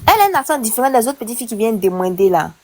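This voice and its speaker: A woman calling in to a live stream to say where she saw a girl, talking excitedly and jumping between thoughts. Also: fairly high pitch, racing, background noise. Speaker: witness calling in.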